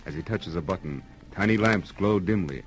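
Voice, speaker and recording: Man, low voice, 1930s radio announcer, formal dramatic scripted narration, music behind, vintage recording. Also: low-quality recording. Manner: dramatic, formal, deliberate phrasing.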